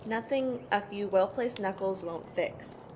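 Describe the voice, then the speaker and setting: A woman acting as a protective big sister with a medium-pitched voice. She is tough and matter-of-fact, explaining things like an interviewee in an amateur audio play. There is some noise on the recording. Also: a little slow, close to an everyday voice. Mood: protective, firm. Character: protective, tough sister mothering five troubled orphaned brothers. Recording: noisy amateur home recording.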